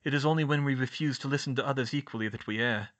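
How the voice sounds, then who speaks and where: A man voicing a calm, wise, old male headmaster wizard, speaking in a measured, formal way. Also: medium pitch, lo-fi sound, close to an everyday voice.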